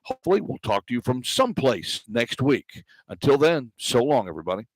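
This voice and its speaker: A male radio sports broadcaster delivers a conversational post-game wrap-up. His voice sits at a medium pitch, and the sound is very dry, with little sense of the space around him. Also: sing-song, fairly quick, husky, dry recording, rough recording.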